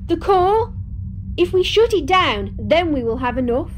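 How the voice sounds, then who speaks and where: A woman playing a determined, practical starship engineer in an audio drama, brisk and problem-solving. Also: extremely high pitch, sing-song, a little hiss or hum, full character voice.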